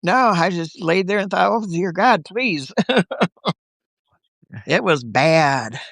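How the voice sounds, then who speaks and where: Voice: mid-range voice, animated, takes it slowly, creaky, a bit bright, no room sound, studio quality. A chatty livestream host at home in the countryside, recovering from a bad cold, talking casually and laughing often. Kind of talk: casual live-video chat.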